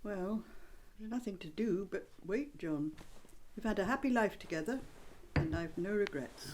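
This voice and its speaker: A woman playing a calm, loving wife in a radio drama, gentle and resigned. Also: medium pitch, normal speed, noisy background, natural voice.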